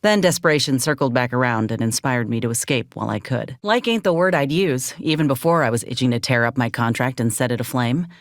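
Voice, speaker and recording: A woman's voice: extremely low pitch, expressive, brisk, hardly any pauses, dry recording. A battle-worn frontier woman soldier in a video game, loyal and guarded. She talks in a folksy, plainspoken way with a dry, wry edge. The sound is dry, with no room on it. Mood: earnest, determined. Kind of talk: video game character dialogue.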